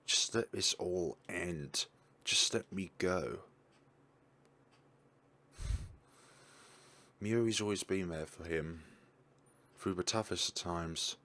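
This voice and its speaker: Deep-voiced man reading game text. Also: slow, long pauses, pushed and bright. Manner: stumbling reads with self-corrections. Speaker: gamer reading a visual novel aloud. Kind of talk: reading game text aloud with casual asides.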